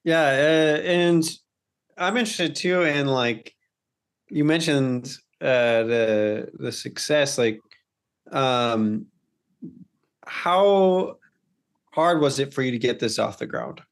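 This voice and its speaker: A man's voice: medium pitch, takes it slowly, long pauses. A man hosting a podcast interview in a casual style, feeling his way through questions with tentative, rambling phrasing.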